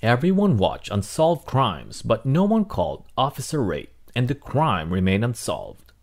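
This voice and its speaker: A man reading a children's storybook aloud as narrator, in an expressive, dramatic way. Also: medium pitch, a little slow. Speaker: read-aloud host.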